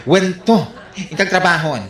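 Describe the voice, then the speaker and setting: Campy, bright Ilocano beauty parlor boss. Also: male voice, sits high, normal speed, reverberant. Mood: evasive, playful. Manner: campy, drawling, squealing exclamations. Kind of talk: radio comedy drama acting.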